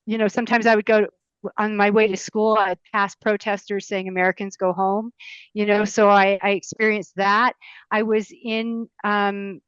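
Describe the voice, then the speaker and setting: A woman in her fifties with a smooth, resonant voice recounts her upbringing in an interview. She rambles, with frequent ums and restarts. The sound is dry and slightly dull, like a lo-fi recording. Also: mid-range voice, no room sound. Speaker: mayoral candidate guest. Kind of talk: interview life story.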